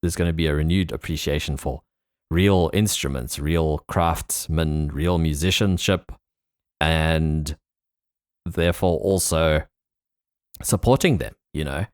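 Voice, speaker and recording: Very deep-voiced man chatting reflectively. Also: unhurried, darkish tone, clear, resonant tone, no room sound, clean studio sound. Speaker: podcast host. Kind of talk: casual, reflective conversation.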